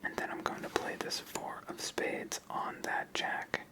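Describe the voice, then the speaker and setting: An ASMR host whispering a methodical, step-by-step walkthrough of a card game. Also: measured pace. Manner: methodical, explains moves step by step.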